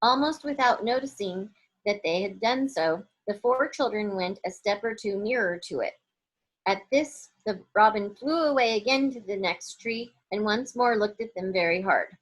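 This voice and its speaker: A woman's voice: mid-range voice, expressive, normal speed, poor audio. A woman reading a children's story aloud as a narrator. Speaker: storybook reader.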